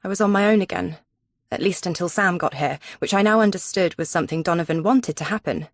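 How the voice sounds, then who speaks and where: Woman, audiobook narrator, slightly low pitch, dry studio sound, first-person suspense narration. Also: quick pace, no room sound.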